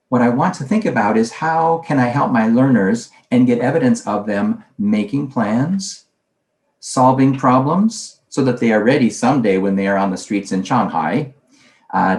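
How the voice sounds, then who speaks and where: A man presenting a conference talk in a conversational way, posing questions and then answering them himself. His voice sits at a medium pitch. The recording carries a roomy echo. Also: a little mellow. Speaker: conference speaker on language teaching.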